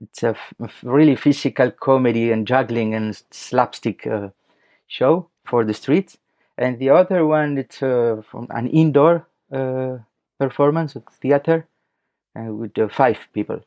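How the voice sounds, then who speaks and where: A man from Chile with a Chilean Spanish accent, speaking haltingly and searching for words as a guest in a casual interview. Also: medium pitch, darkish tone. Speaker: podcast guest, circus clown and comic actor. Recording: dull lo-fi audio.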